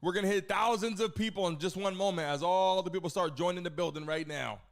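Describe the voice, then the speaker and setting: A high-voiced man hosts a livestream at a rapid clip, full of excitable slang. He hypes up his viewers and calls out to them directly while music plays behind him. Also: gravelly. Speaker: livestream host. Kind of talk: hype livestream chat, greeting viewers.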